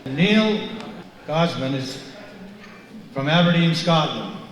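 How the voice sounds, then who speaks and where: A man's voice: on the high side, lively intonation, slow pace. An older man acting as host, formally introducing the guest speaker on a handheld mic in a large hall. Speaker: event host. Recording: distant, very echoey hall.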